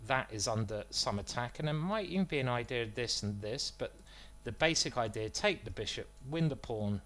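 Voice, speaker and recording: A man talks through his chess game live, weighing moves out loud and poking fun at himself between lines. His voice sits at an ordinary middle pitch for a man, and his delivery is loose and chatty rather than polished. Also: lively intonation, normal speed. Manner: self-deprecating, joking, thinking aloud about moves. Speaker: chess streamer commentating his own games.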